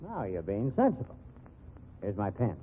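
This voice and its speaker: A man's voice: on the low side, huge pitch swings, normal speed, slight background noise. A man playing a bullying, smug blackmailer in an old-time radio crime drama, with a hard-boiled, domineering delivery. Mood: coolly persuasive. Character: bullying, smug male blackmailer running an investigation bureau. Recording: narrow-band, tinny old radio recording.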